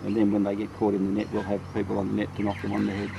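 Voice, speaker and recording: Low, even-toned man talking outdoors. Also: rapid-fire. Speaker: rabbit control trapper and trainer. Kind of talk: informal field explanation. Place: outdoors on rural land. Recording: clear outdoor rural background noise.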